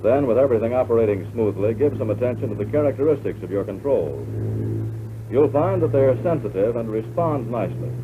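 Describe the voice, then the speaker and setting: An American man reading scripted instructional narration for a 1940s military training film in a measured, instructive way. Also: mid-range voice, animated. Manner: measured, instructive, addressing the listener as pilot. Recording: tinny band-limited 1940s film soundtrack, loud noise.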